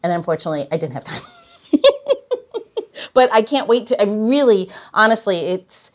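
A woman's voice: medium pitch, lively intonation, normal speed. A woman hosting a podcast, chatting casually in an effusive, rambling way. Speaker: podcast host. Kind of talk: casual interview chat.

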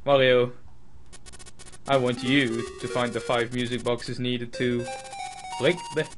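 A man's voice: mid-range voice, fairly even intonation, slow pace, natural voice. Mysterious hidden male god in a game temple, a Dutch man reading the lines, plain middling voice. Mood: solemn. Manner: reading on-screen dialogue aloud in a slightly raised voice. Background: video game music.

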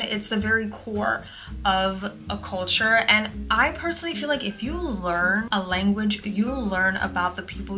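A young woman from Tanzania, a native Swahili speaker, talking casually to camera about family life on a lapel mic in her living room. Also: medium pitch. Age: young adult. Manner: expressive, talks with her hands. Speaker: vlogger. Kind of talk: casual sit-down vlog talk.

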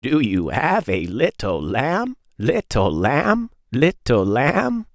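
A man in his thirties hosting a children's read-aloud, speaking in simple, clearly phrased sentences to young listeners. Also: medium pitch, wildly swooping pitch, slow, no room sound, studio quality.

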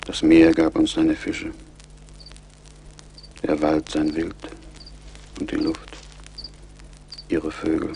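A proud old-time mohican chief, gravelly and solemn, barely moves in pitch, radio play. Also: male voice, completely flat delivery, dark tone, a little hiss or hum, heavily acted, low-quality recording. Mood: solemn, mournful. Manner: solemn, measured, poetic. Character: grave, proud middle-aged Mohican chief mourning his people. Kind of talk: German radio play acting.

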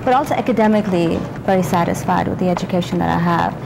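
A woman's voice: on the low side, fast talker. A young woman giving an interview testimonial as a student. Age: young adult. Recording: clear traffic and ambient noise.

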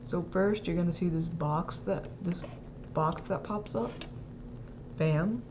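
A casual, rambling speaker hosting an informal step-by-step software tutorial, recorded close to the mic as a voice-over. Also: mid-range voice, slow, dull audio, noisy background. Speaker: tutorial host.

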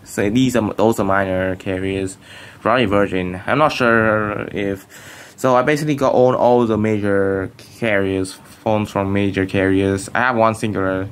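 A man's voice: fairly low pitch, fairly even intonation, measured pace, clean tone. A young man whose first language is Vietnamese, casually rambling through a show-and-tell with many restarts and fillers. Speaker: phone collector vlogger. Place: bedroom at home.

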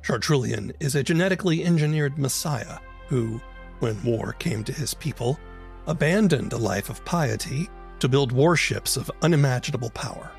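A man's voice: medium pitch, expressive, normal speed, gravelly, faint music behind. A man hosting an interview podcast, moving between scripted introduction and easy conversation. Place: close, dry recording; remote interview.

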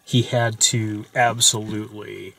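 Casual male host, lowish voice, rambling film talk from the front seat of a car. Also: little pitch movement, a little slow, vocal fry, bright, forceful tone. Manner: loose and rambling, with frequent fillers and restarts. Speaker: movie discussion host. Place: parked car at night.

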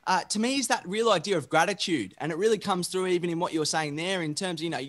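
A man hosting a podcast from a home studio with bookshelves, speaking into a boom-arm mic and leading into his questions with long, hesitant preambles. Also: fast. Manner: warm-up preambles, hesitant fillers. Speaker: podcast host. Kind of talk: interview questions.

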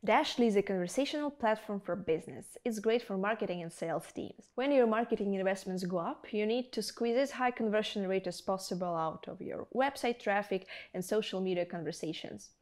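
Female presenter, young adult, mid-range pitch, for scripted promotional review addressed directly to viewers. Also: a little fast, hardly any pauses, a little mellow. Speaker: video presenter. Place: indoor studio with plain backdrop.

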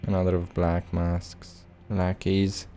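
A man's voice: deep voice, monotone, takes it slowly, warm tone, faint music behind. A man giving casual, laid-back gameplay commentary in short phrases as he plays. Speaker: let's play host.

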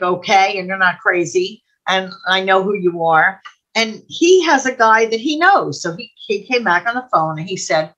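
Older woman, bright sharp voice, mid pitch, casual storytelling, rambling and chatty, video call. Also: low-quality recording. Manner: chatty, digressive, quotes people as she tells stories. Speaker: guest storyteller. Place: home room, indoors.

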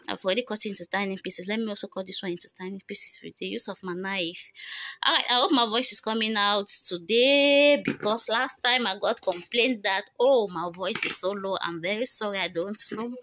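A woman's voice: medium pitch, a little fast, a bit bright. A young woman talks viewers through a homemade recipe in a loose, chatty way, often calling out to them as guys. She records herself at home on a phone with no separate microphone. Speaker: beauty vlogger. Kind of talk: casual how-to vlog. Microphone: phone camera, no microphone.

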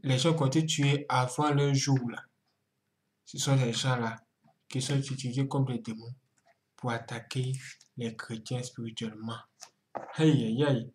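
Man, middle-aged, online host, medium pitch, dramatic delivery, exclamations, emphatic repetition. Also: steady tone, measured pace, long pauses, darkish tone, a little hiss or hum. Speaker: YouTube host. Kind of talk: animated reaction commentary.

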